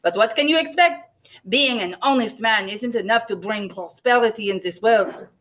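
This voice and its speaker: Woman as a bright-voiced, self-pitying innkeeper man. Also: on the high side, moderate pace, close to an everyday voice. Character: male innkeeper, eager to please, self-pitying, bitter.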